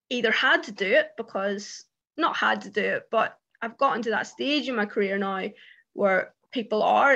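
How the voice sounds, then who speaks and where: A British woman speaking on an online panel, with a stammer that shows in repeated words and frequent fillers. She talks in a relaxed, conversational way at a mid pitch, and her line sounds a little dull and muffled. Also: gravelly. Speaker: panellist, journalist who stammers. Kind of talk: casual panel discussion.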